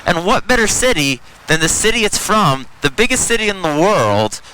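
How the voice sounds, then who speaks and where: A man's voice: high-pitched, big pitch swings, normal speed, pushed and bright, low-quality recording. An American man in his thirties giving rambling, self-deprecating commentary while riding a motorcycle through city traffic, recorded on a helmet mic. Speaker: motorcycle vlogger. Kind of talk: casual ride-along commentary. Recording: faint road and engine noise. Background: traffic and engine noise.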